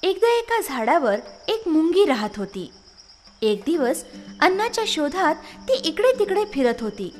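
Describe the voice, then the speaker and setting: A woman tells a children's story in an expressive, scripted narration. Her voice is high and swings widely in pitch. It carries a long reverb, with faint music underneath. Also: a little slow, a little hiss or hum.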